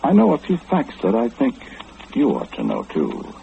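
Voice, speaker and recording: A man playing a courteous, eccentric, all-knowing gentleman in an old-time radio drama, speaking with formal, deliberate enunciation. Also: medium pitch, huge pitch swings, creaky, heavily acted. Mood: earnest, probing. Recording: muffled, noisy old radio recording.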